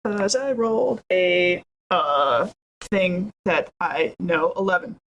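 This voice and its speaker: A woman playing a tabletop role-playing game, chatting casually and jokingly, playful and quick to interject. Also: on the low side, fairly bright tone, highs cut off, slight background noise.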